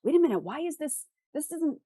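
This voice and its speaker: A woman's voice: sits high, sing-song, brisk, warm tone, no room sound, clean studio sound. A woman giving thoughtful, conversational answers as an interview guest, explaining things by talking through questions aloud. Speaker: interview guest, fiction author.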